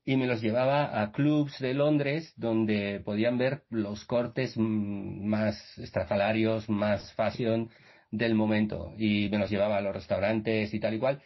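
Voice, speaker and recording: Husky man, rambling guest. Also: lowish voice, steady tone, measured pace. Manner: rambling with many restarts and fillers. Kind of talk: casual storytelling in a radio interview. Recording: muffled video-call audio.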